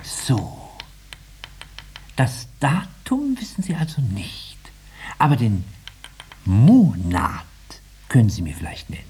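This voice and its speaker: An actor playing a condescending, clinical psychiatrist in a 1950s radio drama, dropping into drawn-out murmurs. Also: male voice, medium pitch, wildly swooping pitch, darkish tone, slightly rough, heavily acted. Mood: probing. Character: condescending male psychiatrist questioning his patient. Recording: noisy 1950s radio recording with clicks.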